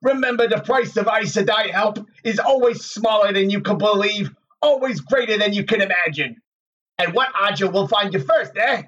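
A man's voice: high voice, normal speed, a bit bright, far from the mic, lo-fi sound, voice pushed up. A man voicing a sardonic old travelling bard giving urgent warnings in a storybook reading.

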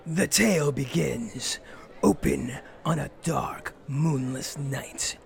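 A man playing a self-styled dark vigilante in a comedic fantasy audio drama, grandiose and absurdly earnest, with a gravelly, often whispery voice and a pompous, portentous delivery. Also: medium pitch. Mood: brooding. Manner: slow, pompous, theatrically portentous.

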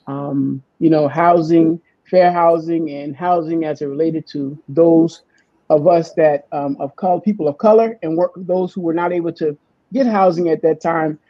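A podcast host introducing guests in a live online session, speaking haltingly and often restarting phrases. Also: mid-range voice, fairly even intonation, no room sound, dull audio.

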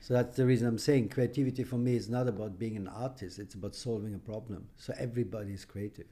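A man's voice: lowish voice, steady tone, quick pace, dark tone. A middle-aged man from Iran, English speaker, giving emphatic, opinionated answers as a podcast guest and often ending with a questioning "no?". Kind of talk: podcast interview.

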